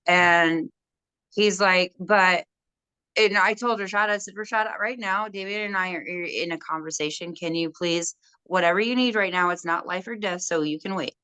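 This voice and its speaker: A young woman with a loud voice, casually telling a story about a stubborn resident at work and acting out both sides. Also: fairly low pitch, moderate pace, clean tone, no echo, rough recording. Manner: animated storytelling, quoting others. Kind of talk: casual conversation.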